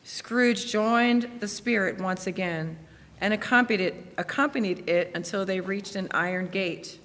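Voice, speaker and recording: Female narrator, slow and dramatic, reading in a big reverberant hall over heavy noise. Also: medium pitch, fairly bright tone. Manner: measured, dramatic storytelling. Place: church, staged reading.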